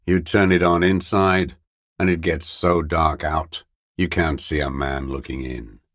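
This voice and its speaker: An actor playing a bitter, paranoid old communist deserter in a video game, with a weary, gravelly voice and a halting, brooding, contemptuous mutter. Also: male voice, very deep voice, little pitch movement, fairly bright tone, no room sound, studio quality, exaggerated voice. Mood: brooding, furtive. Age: older. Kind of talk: video game character voice acting.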